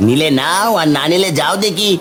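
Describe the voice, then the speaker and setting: A man's voice: high voice, moderate pace, a bit bright. A man voicing a stubborn, curt vegetable seller in a village cartoon. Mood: brusque, unyielding. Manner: curt, take-it-or-leave-it. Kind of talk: cartoon voice acting.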